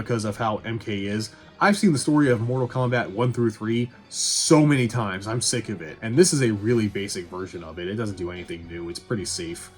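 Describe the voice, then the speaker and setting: A man doing a casual, opinionated review voice-over in a blunt, sweary way with wry asides. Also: fairly low pitch. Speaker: video essay host reviewing movies. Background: background music.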